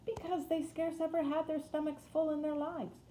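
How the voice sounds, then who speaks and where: A woman's voice: high voice, level tone, normal speed, mellow, voice pushed up, natural voice. A woman voicing a blunt, kindly young housemaid with a Yorkshire accent in a storybook reading. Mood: scolding. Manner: plain-spoken, dialect thee and thou. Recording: roomy echo, faint noise.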